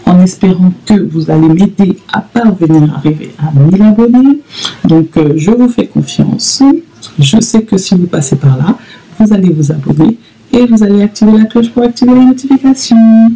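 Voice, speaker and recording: A woman in her thirties talking casually to camera in a vlog, halting with many fillers and repeats. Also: mid-range voice, animated, mellow, breathy edge, low-quality recording. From Congo (DRC). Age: 30s. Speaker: vlogger.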